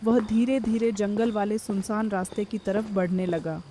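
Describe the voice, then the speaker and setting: A woman's voice: medium pitch, steady tone, moderate pace, noisy background. A woman giving scripted narration of a horror story as its narrator.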